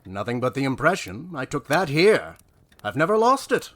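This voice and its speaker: A man playing a grave gentleman storyteller in an audio drama, speaking in a formal, theatrical way. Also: medium pitch, sing-song, moderate pace. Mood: grave, haunted.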